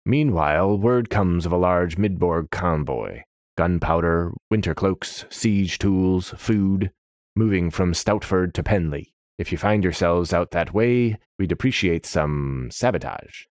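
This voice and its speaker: Man voicing a rumbling, commanding old general. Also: measured pace, darkish tone, dry recording, studio quality. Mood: grave, measured. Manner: commanding, blunt. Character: scarred grey-bearded old general, gruff and commanding.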